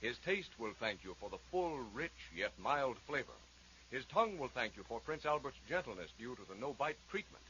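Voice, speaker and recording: A man's voice: mid-range voice, very animated, fairly quick, sharp tone. A man reading scripted advertising copy in a 1940s radio style. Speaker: advertising announcer. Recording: muffled, faintly noisy 1940s radio.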